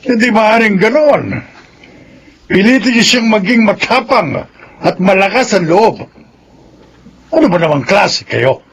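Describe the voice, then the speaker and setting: A man's voice: high voice, expressive, unhurried, long pauses, a bit bright, a little hiss or hum, full character voice. A man playing a domineering, demanding grandfather in a Filipino radio drama. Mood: stern, demanding.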